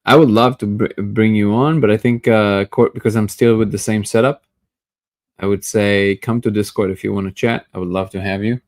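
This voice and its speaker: A man's voice: lowish voice, fairly even intonation, moderate pace. A man hosting a daily livestream, chatting casually and greeting viewers by name. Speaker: livestream host. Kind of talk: casual live chat reading comments.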